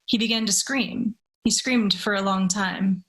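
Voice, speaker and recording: A woman who speaks in a measured, deliberate way, with careful phrasing. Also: mid-range voice, steady tone, a bit bright. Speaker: story reader. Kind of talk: dramatic literary reading, then casual discussion.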